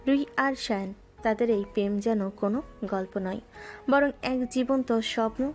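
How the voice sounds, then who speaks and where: A woman narrates a love story in a gentle, scripted storytelling style. Her voice sits a little high. Soft music plays behind her. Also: normal speed. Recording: music bed underneath.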